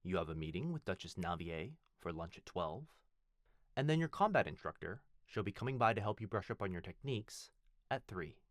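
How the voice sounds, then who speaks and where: A man playing a devoted head butler who speaks formally and fondly, with gentle teasing, in an ASMR roleplay. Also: deep voice, sing-song, brisk, dry recording, clean studio sound. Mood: attentive, polite. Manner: formal, deferential, fond, softly teasing. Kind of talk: ASMR boyfriend roleplay, scripted acting.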